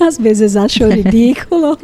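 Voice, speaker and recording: A woman's voice: on the high side, lively intonation, crowd noise. An Italian-born woman in her fifties with an Italian accent, speaking Portuguese as a second language. She is a podcast guest sharing a personal testimony and often checks in with a questioning "tá?". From Italy. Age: 50s. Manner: unhurried, often ends sentences with a checking "tá?". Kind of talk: interview testimony.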